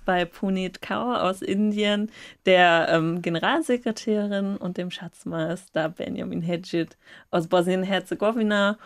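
Woman hosting a panel interview. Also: medium pitch. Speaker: host. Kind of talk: interview moderation.

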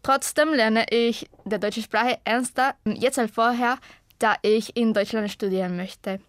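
A woman's voice: fairly high pitch, fairly even intonation, unhurried, dry recording, clean studio sound. Young woman speaking learner German. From Costa Rica. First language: Spanish. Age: 20s. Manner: hesitant, searching for words. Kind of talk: personal self-introduction.

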